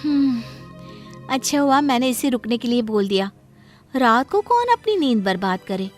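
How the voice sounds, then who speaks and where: A woman's voice: fairly high pitch, lively intonation, moderate pace, faint music behind, lightly acted. A woman voicing a sly, sweet-talking younger daughter-in-law in a moral cartoon story, with showy politeness. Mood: smug.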